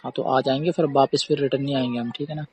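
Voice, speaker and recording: A man's voice: mid-range voice, steady tone, very fast, darkish tone, husky. A man talking casually in a recorded phone call. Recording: faint background noise on call recording.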